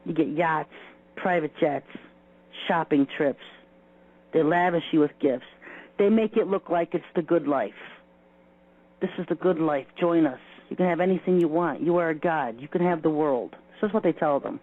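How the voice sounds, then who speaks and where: Casting: male exposé talker, fairly high voice, emphatic short phrases, thin humming lo-fi recording. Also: moderate pace. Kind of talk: informal spoken exposé. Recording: dull lo-fi audio with hum.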